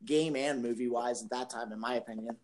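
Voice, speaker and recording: A man's voice: medium pitch, fairly even intonation, brisk, darkish tone. A man co-hosting a podcast in a casual style, explaining his opinions informally. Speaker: podcast co-host.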